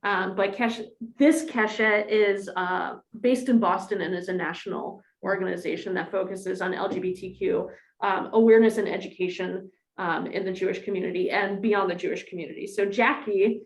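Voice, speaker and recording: A woman rabbi introducing a guest speaker to her congregation in a friendly, halting way with many ums. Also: medium pitch, fairly even intonation, echoey room. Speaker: rabbi hosting a class.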